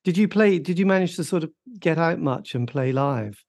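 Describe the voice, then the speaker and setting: A man's voice: fairly high pitch, lively intonation, moderate pace, darkish tone. A man hosting an interview, asking questions in a tentative, hedging way.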